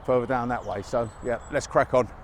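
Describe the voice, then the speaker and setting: A man's voice: medium pitch, brisk. A man on a walking vlog, chatting casually as he goes, a bit chesty from a cold and slightly out of breath, on a chest-worn mic outdoors. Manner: chatty, wry, a little out of breath. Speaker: walking vlogger. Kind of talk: casual walk-and-talk narration. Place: outdoors by a busy motorway in winter fields. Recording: outdoor mic with faint traffic noise.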